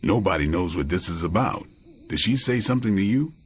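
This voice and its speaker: Game hero: suspicious pessimist, deep terse delivery, soft night noise behind. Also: male voice, expressive, a little fast. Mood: wary. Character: wary, gloomy low-voiced male hero. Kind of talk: video game cutscene acting. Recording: faint outdoor night ambience noise.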